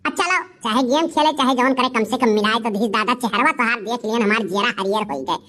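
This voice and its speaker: A woman's voice: a little high, fast, a bit bright. A woman voicing a coquettish, complaining village sweetheart with an Awadhi accent in a comedy cartoon. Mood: flirtatious. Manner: coy, sentimental, grumbling. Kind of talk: comic cartoon dialogue.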